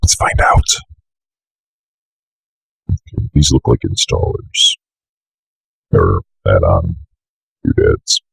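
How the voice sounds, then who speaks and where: A young man with a bit of a cold giving a casual software walkthrough in an offhand, mumbling way. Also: rumbling low, huge pitch swings, takes it slowly, creaky, pauses often, breathy edge, no echo. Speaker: software tutorial presenter. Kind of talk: casual screen-recorded walkthrough. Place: indoor room at a computer desk.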